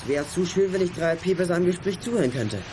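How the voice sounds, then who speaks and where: A clever teenage boy talks eagerly and self-assuredly in a children's radio play. His voice is a little high, over clearly audible ambient noise. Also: lively intonation, quick pace. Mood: eager, scheming. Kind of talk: children's audio drama acting. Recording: noisy outdoor drama ambience.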